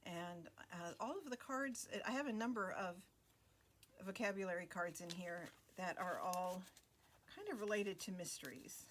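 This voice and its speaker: Female craft host, casual unhurried narration, slightly low pitch, lots of pauses. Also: slight background noise. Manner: relaxed, many fillers and pauses. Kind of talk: casual flip-through narration.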